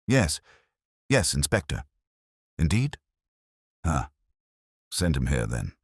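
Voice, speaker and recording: A man's voice: rumbling low, big pitch swings, takes it slowly, husky, no room sound, clean studio sound, full character voice. A man voicing a large, imperious older detective in an audiobook, speaking formally and tersely. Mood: dry, suspicious. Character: large, brilliant, imperious older male detective, grumpy and deliberate.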